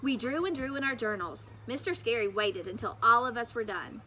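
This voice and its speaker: Bright-voiced woman reading a children's story. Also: a little high, lively intonation, fairly quick, a little hiss or hum, poor audio. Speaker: teacher reading aloud. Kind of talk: expressive children's story narration.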